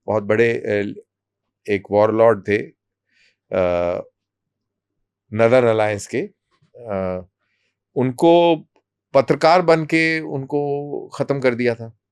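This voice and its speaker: A man speaking as an interview guest, explaining in measured, deliberate short phrases with pauses. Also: mid-range voice, darkish tone, clear, resonant tone, no echo. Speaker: army colonel, interview guest.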